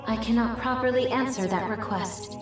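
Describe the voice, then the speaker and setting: A woman's voice: fairly high pitch, close to an everyday voice. Woman acting as serene female terminal guide, formal, cryptic, video game voice, echoing and distant, music behind. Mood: serene, detached. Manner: formal, measured, detached.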